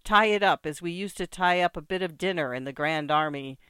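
Brief: reader voicing an old grenadier, theatrical and exclaiming, smooth delivery. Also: mid-range voice, a little fast, no echo, lightly acted. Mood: boisterous. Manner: loud, exclaiming, full of oaths and toasts. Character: boisterous old French ex-grenadier, loud and theatrical. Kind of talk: audiobook character voice.

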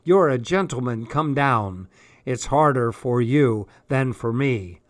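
A man reading aloud and voicing a frail eighteen-year-old tramp boy with a husky voice. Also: mid-range voice, expressive, slow, no echo. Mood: knowing.

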